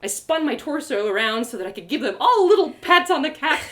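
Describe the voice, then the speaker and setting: A woman reading a first-person story aloud with expressive, playful, dramatic delivery. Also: high voice, a little fast. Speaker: author reading a story live.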